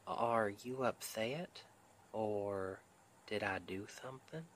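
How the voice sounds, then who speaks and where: Man acting a slow-talking, tender Southern husband. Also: on the low side, a bit bright, slight background noise. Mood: concerned, gentle. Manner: folksy, tender, unhurried, soothing. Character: sweet, protective, gently stubborn Southern husband. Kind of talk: intimate ASMR roleplay acting.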